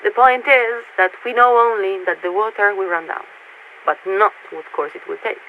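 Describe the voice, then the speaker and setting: A woman playing a polite, formal military radio signaler in a video game, speaking in a measured, patient, officious way through a radio filter. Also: medium pitch, steady tone. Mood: patient explaining. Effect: radio transmission filter. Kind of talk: scripted game voice acting. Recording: tinny narrow-band radio with static.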